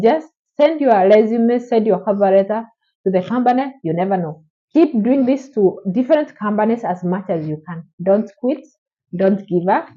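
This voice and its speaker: Female vlogger, encouraging and direct, slightly muffled recording. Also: medium pitch. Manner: encouraging, repetitive, direct address. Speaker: vlogger answering viewer questions. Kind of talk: casual advice vlog. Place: Oman.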